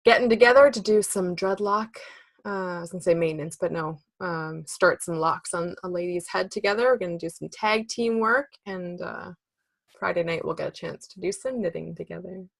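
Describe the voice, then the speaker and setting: A woman in her thirties co-hosting a casual knitting podcast, chatty and quick to laugh. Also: on the low side, gravelly. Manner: chatty, laughs often, lots of fillers. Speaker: podcast co-host.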